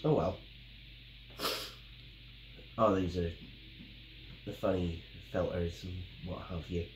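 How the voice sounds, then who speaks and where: A Scottish man in his thirties, nasal and hoarse from a cold, chatting casually as a tech host, rambling with many ums. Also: low voice, steady tone, takes it slowly, over music. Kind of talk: casual unboxing and review. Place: small home office, indoors. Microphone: camera mic at the desk. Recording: roomy, faintly noisy home recording.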